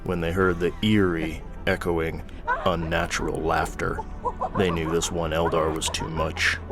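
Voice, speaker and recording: A man's voice: sits low, flat delivery, a little slow, over music. A man giving a grave, theatrical narration of scripted horror stories.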